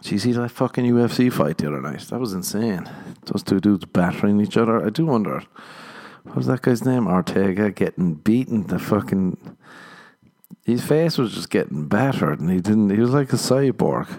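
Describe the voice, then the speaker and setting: Man, lowish voice, casual podcast monologue, rambling, swearing, laughing. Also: expressive, normal speed, warm tone. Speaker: comedian and podcast host. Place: living in Los Angeles.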